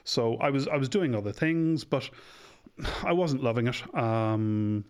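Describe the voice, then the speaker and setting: A man's voice: medium pitch, measured pace, a little mellow, smooth. A man in his forties with an Irish accent, a doctor chatting openly as a podcast guest and thinking aloud as he goes. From Ireland. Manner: chatty, self-deprecating, thinks aloud with restarts. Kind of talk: casual podcast interview.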